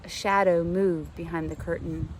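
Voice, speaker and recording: A woman's voice: fairly low pitch, fairly even intonation, moderate pace, a little mellow. Woman reading a suspenseful story, noisy background. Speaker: teacher reading a novel aloud to students. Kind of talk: expressive storybook read-aloud.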